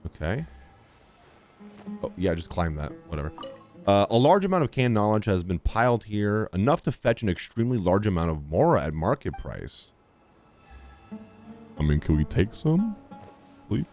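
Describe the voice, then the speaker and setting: A man's voice: lowish voice, very animated, normal speed, pauses often, a little mellow. A young man doing casual let's-play commentary, reading game text aloud and reacting with sarcastic asides over game music. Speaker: gaming streamer.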